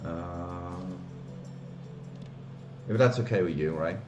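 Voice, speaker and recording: A man from the Flemish part of Belgium, a native Dutch speaker with a Flemish accent, walking through software on a casual live stream and hesitating often with fillers and restarts. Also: sits low, slow pace, echoey room, lo-fi sound, faint music behind.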